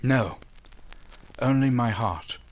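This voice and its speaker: An actor playing a proud, ardent Regency gentleman suitor in old-time radio romance style, formal and earnest. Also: mid-range voice, animated. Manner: formal, earnest, halting when moved.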